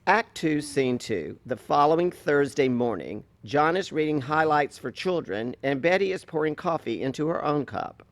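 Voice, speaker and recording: A man's voice: a little high, animated, normal speed, hardly any pauses, dry recording. A man reading stage directions as scripted narration for a play reading.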